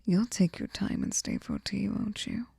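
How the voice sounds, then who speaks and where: A woman's voice: mid-range voice, moderate pace, gravelly, dark tone, breathy edge, no echo, heavily acted. A woman playing a sweet, possessive, lonely fairy in an intimate ASMR roleplay, cooing breathily and often slipping into whispers close to the mic. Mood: coaxing, doting. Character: sweet, clingy, possessive female fairy hostess, lonely and obsessive. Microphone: close microphone, dry sound.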